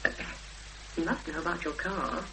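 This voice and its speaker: A woman playing an anxious but determined young woman in a 1970s radio drama, speaking hesitantly with thoughtful pauses. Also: deep voice, fairly even intonation, close to an everyday voice. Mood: uneasy, insistent. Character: anxious, determined young woman seeking her missing sister. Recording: noisy, hissy 1970s radio broadcast recording.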